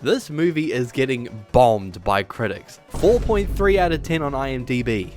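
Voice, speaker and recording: A man hosting a scripted but casual, opinionated video review, with sarcastic, exaggerated emphasis and drawn-out words. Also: medium pitch, very animated, darkish tone, faint music behind. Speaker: video essay host and reviewer.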